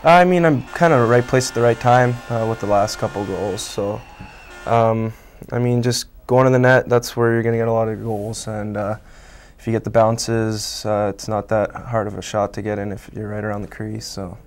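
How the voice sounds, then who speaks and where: Here is a young Canadian man in his twenties, answering questions in a relaxed way in a small local TV studio. His voice is smooth and clear but stays flat and level, with frequent "um"s and "I mean"s, picked up by a lapel mic. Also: lowish voice, normal speed. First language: Italian. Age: 20s. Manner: relaxed, many fillers like um and I mean. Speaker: college hockey player guest. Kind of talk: casual interview answers.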